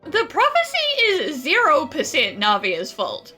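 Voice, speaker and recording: A woman gamer commenting casually on a playthrough. Her voice is high and bright, with lively swings in pitch and an animated, opinionated way of talking. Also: measured pace, soft background music. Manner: animated, opinionated, indignant on characters' behalf. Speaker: gaming streamer. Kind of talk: casual let's-play commentary and reading aloud.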